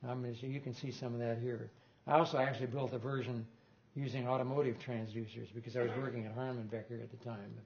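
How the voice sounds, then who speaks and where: A man's voice: medium pitch, flat delivery, quick pace, gravelly, hardly any pauses, muffled recording. An older man giving an informal technical talk in a lecture room, rambling and correcting himself with small chuckles. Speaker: guest lecturer on loudspeaker design.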